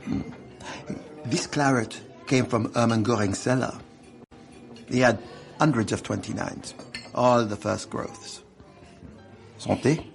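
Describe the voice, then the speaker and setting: An actor playing a worldly, wily Corsican gangster informant with a French accent and a suave, genial manner in a radio drama. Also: male voice, mid-range voice, takes it slowly, creaky, long pauses, faint music behind. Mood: genial, sly. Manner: chuckling, suave, bargaining.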